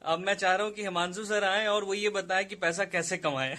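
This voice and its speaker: A man's voice: sits high, fairly even intonation, a little fast, sharp tone. A man with an Indian accent speaking casually as a panellist. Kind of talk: casual joking chat. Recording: faintly noisy online audio.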